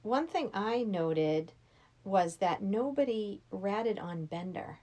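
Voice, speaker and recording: A woman's voice: medium pitch, expressive, slow, dark tone. A middle-aged woman talking casually about a film in conversation.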